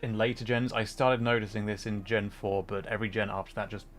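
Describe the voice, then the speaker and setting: A man's voice: fairly low pitch, little pitch movement, brisk. A man doing casual livestream chat and game commentary, often breaking off mid-thought to answer viewers. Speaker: livestreamer playing a game and chatting.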